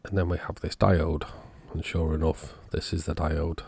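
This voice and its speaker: Gravelly, very low-voiced man narrating repairs. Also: little pitch movement, moderate pace, darkish tone, no room sound. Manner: matter-of-fact, thinking aloud. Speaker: electronics repair YouTuber. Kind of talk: informal hands-on repair narration.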